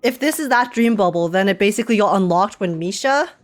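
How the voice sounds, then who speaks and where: A woman's voice: medium pitch, animated, fast talker. A woman giving casual live reaction commentary, informal and rambling as she thinks aloud. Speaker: streamer reacting to a game. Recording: game music in the background. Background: video game music and dialogue.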